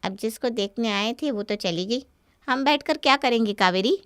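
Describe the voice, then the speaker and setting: A woman's voice: fairly high pitch, normal speed, fairly bright tone, clear, resonant tone, no room sound, studio quality, natural voice. A woman voicing a gossipy, easily offended neighbour in a cartoon story, speaking pointedly. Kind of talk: Hindi cartoon story dialogue.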